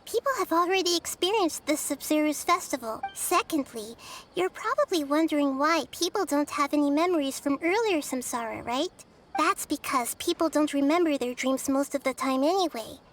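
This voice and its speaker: A woman voicing a small, girlish young goddess who explains things gently and patiently in a video game. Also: high voice, animated. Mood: patient, serious. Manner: measured, explanatory. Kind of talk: video game character acting.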